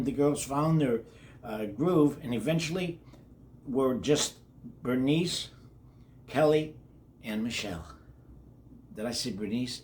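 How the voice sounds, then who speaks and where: A man's voice: on the high side, slight background noise. An older man reading a children's book aloud in a folksy way, with chatty asides to his young listeners. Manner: folksy, addresses children directly, repeats words. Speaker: children's read-along host.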